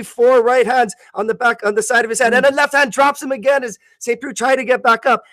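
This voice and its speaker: A man's voice: extremely high pitch, a bit bright, no echo. A man giving live radio play-by-play of a fight as commentator, often stumbling and correcting himself mid-phrase.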